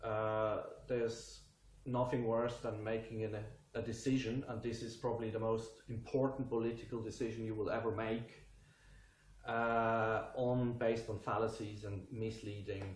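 A man's voice: fairly low pitch, level tone, slight background noise. A man in his thirties from Switzerland with a Swiss accent, speaking earnestly and unhurriedly straight to camera in a small room. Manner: earnest, unhurried, gestures a lot. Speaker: vlogger. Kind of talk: direct-to-camera commentary. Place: small indoor room by a brick wall. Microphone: camera mic at mid distance. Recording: echoey room.

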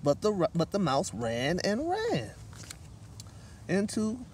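A middle-aged man reads to children as a guest storyteller, with dramatic phrasing. His voice is fairly high and very animated, recorded outdoors a short way from the mic. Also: slow pace. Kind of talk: children's picture-book read-aloud. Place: outdoor table in a leafy park. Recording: distant microphone, light outdoor noise.

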